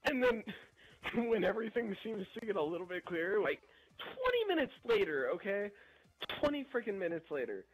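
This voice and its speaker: A young man with a fairly high, husky voice rambles through a story over gameplay. He is animated and slangy, talking casually as if to friends. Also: brisk, poor audio. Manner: animated, slangy, chuckling delivery. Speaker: gameplay video narrator.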